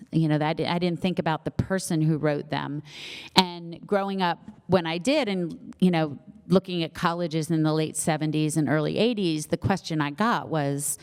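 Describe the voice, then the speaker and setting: A middle-aged woman with a slight lisp talks with an event audience in a loose, self-deprecating way, full of "um"s and asides. Her voice sits a little low and sounds smooth and clear. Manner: chatty, warm-humored, self-deprecating, many fillers. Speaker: author at book launch. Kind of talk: casual Q&A chat with audience. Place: event hall with live audience.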